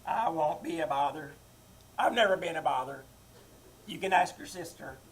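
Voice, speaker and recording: Brief: woman as an elderly, passive-aggressive mother, low-set voice. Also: lively intonation, moderate pace, a little hiss or hum, poor audio. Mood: martyred. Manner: passive-aggressive, self-pitying repetition. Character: nagging, martyred elderly mother-in-law. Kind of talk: 1940s radio comedy acting. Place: live theater stage.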